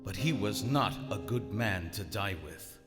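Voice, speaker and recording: A proud, cold German U-boat captain, played by a man in a live radio play. He is stern and formal, with a measured delivery that still swings widely in pitch. The sound rings in a big theater hall. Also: animated, over music. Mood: grim, detached. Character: proud, cold German WWI submarine captain, narrating his log. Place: on stage in a large theater. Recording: echoey theater hall, live stage recording.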